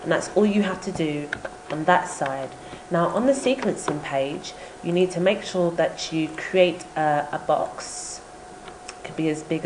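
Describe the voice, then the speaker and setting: A woman with a low voice narrates a software tutorial. She explains things informally, step by step. The sound is echoey and carries faint background noise. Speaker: tutorial presenter. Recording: roomy echo with background noise.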